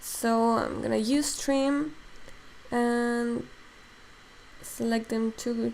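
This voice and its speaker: Mexican woman demoing software, gravelly voice. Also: a little high, fairly even intonation, extremely slow, slight background noise, rough recording. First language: Spanish. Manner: thinks aloud while working, many fillers. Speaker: digital sculpting instructor. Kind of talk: casual live-stream software demo.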